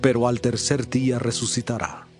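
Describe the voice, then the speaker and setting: An actor playing an authoritative male teacher addressing his disciples in a solemn, measured, declamatory way in a dramatized scripture reading. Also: mid-range voice, steady tone, darkish tone, soft background music, close to an everyday voice. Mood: grave.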